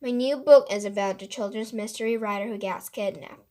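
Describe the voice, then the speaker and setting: A woman voicing a mischievous woman mystery writer in a storybook, explaining with animated emphasis. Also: mid-range voice, a little fast, background noise, lightly acted. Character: playful, mischievous woman mystery author confessing her scheme.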